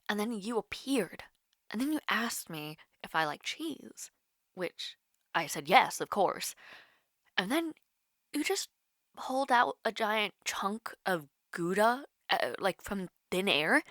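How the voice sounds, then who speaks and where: A woman's voice: mid-range voice, bright, forceful tone, no echo, studio quality, full character voice. A young woman playing a nervous, rambling college student chatting casually with her online crush in an audio roleplay. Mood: sheepish, rambling. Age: young adult. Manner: awkward, rambling, hesitant with many fillers. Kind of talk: naturalistic romantic audio roleplay, casual one-sided call.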